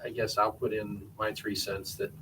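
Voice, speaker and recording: A man's voice: lowish voice, little pitch movement, moderate pace, bright, forceful tone. A man chairing a school board meeting in a meeting room, casual and chatty. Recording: distant room mic with faint hiss.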